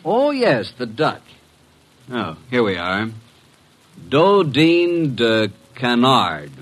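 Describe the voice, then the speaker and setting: An actor playing a breezy male detective's assistant in an old radio drama, with a wry, smooth-talking manner. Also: medium pitch, huge pitch swings, drawn-out words, exaggerated voice. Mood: teasing, sarcastic. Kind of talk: 1940s-50s radio drama acting and narration. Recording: vintage radio drama recording.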